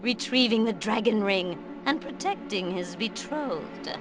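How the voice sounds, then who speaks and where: A scheming vampire mistress, as an actress plays her drawls each line, coaxing, then gloating with menace. Her voice sits in an ordinary middle range. A clear game music score plays under her. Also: medium pitch, expressive, a little slow, lightly acted. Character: scheming, vengeful female servant of Dracula. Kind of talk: game cutscene voice acting.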